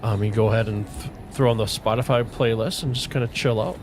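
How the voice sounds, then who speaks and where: Laid-back low-voiced man streaming a game. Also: fast, noisy background. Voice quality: soothing voice. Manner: relaxed, rambling, greets chat viewers. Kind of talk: casual livestream chat while gaming. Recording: faint music playing underneath.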